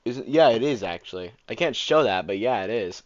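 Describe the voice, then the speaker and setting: A man's voice: lowish voice, expressive, brisk, highs cut off. A man reacting casually to a game as a live streamer.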